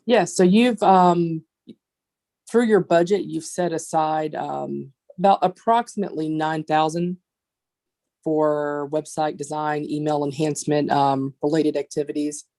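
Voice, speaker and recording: Female board attorney: low register, noticeable vocal fry, careful explanations given over a video call. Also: steady tone, unhurried, mellow. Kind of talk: advising a formal meeting. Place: virtual meeting.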